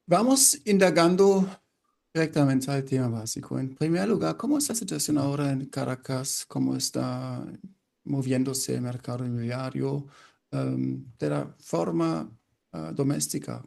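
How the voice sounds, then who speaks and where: Host guy w a very gravelly dark voice, kinda hesitant, apologizes for his spanish. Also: medium pitch, expressive, normal speed. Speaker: podcast host. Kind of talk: interview hosting.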